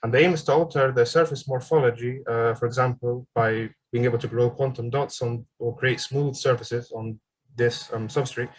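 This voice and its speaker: Man in his twenties presenting over a video call, hesitant and filler-heavy, middle-pitched voice. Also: fairly even intonation, normal speed, low-quality recording. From Egypt. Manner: hesitant, frequent ums and uhs. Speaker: graduate student presenter. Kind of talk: online seminar presentation.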